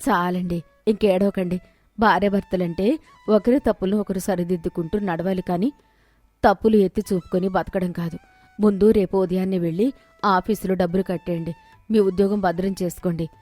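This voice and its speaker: A woman voicing a wise, calm, forgiving village wife in an animated moral story. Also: on the low side, a little fast, no echo, faint music behind, natural voice. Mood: calm, firm.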